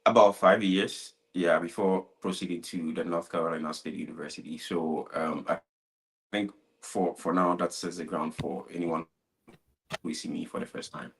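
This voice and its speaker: Deep-voiced young Ghanaian man, interview guest. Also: fairly even intonation, normal speed, gravelly, low-quality recording. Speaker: interview guest, student abroad. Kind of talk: casual, conversational interview answers.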